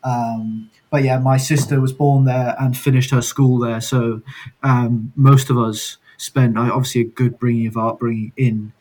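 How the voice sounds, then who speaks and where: A man's voice: medium pitch, a little mellow, rough recording. Rambling young man, soccer guest. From born in Scotland, raised in Singapore. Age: young adult. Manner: rambling, many fillers and restarts. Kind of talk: casual podcast interview.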